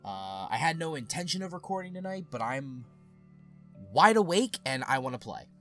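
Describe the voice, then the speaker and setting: A man's voice: medium pitch, sing-song, normal speed, pushed and bright, soft background music. Sniffly young man rambling casually. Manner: rambling, chatty. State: sniffly from allergies. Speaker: let's play host. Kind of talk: casual gameplay commentary.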